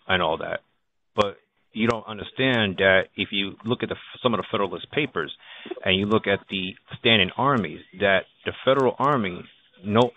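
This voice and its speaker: A man in an informal political discussion on a group call, speaking haltingly and repeating himself as he restarts phrases. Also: lowish voice, fairly bright tone. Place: conference phone call. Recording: narrow phone line with background noise.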